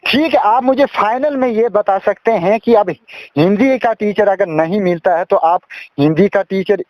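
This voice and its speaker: Male reporter, high-pitched voice, pressing interview style, very dry sound. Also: no room sound, dull audio. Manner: insistent, repeats questions. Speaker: news reporter.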